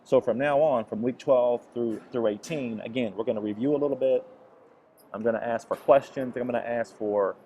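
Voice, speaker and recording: A man's voice: medium pitch, normal speed, dark tone, dull audio, a little hiss or hum. A man recovering from the flu, teaching a golf lesson over swing footage, with frequent restarts and a repeated "okay". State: recovering from flu, voice deeper than usual. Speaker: golf instructor.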